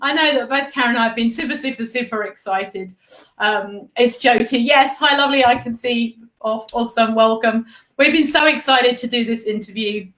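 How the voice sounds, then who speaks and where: Woman, Australian accent, livestream host, bubbly, chatty, higher voice, echoey room. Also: moderate pace, fairly bright tone. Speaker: host and art business mentor. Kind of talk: live-streamed interview hosting.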